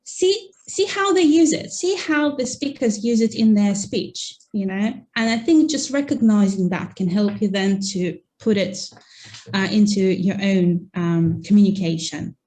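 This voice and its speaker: A woman on a video call gives relaxed, encouraging tips to learners in an online webinar. She talks in a loose, chatty way and often drops in "you know." Her voice sits at a middle pitch. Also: unhurried. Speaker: guest teacher in a webinar. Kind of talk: conversational webinar advice.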